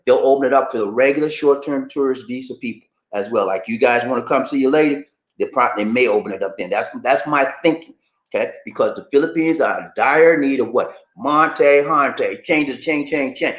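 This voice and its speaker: A man's voice: mid-range voice, brisk, fairly bright tone. A man hosting a live stream, reading out viewer comments and chatting loosely and directly to his audience. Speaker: channel host reading viewer chat. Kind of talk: casual live-stream chat. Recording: echoey room.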